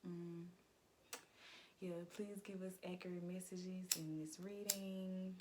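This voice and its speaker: A young woman in casual chat doing a tarot reading, laid-back and rambling, with a slightly raspy voice, recorded on a phone at home. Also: fairly low pitch, steady tone, takes it slowly. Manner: laid-back, rambling, slangy, laughs often. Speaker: tarot reader. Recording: noisy background hiss.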